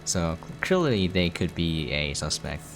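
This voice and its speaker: A man's voice: low voice, a little slow, vocal fry. A man giving casual gameplay commentary, thinking aloud as he goes. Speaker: game streamer. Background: game music.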